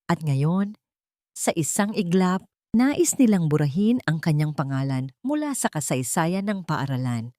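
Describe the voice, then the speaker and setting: A Filipino woman narrates a dramatic audiobook story. Her voice sits fairly low, and the recording is dry and close, with little room sound. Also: sing-song, a little slow, dark tone, no echo.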